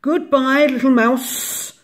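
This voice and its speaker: A woman voicing a sly, slithering storybook snake with slow, drawn-out words. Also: high-pitched, steady tone, pitched above the performer's own voice. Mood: alarmed.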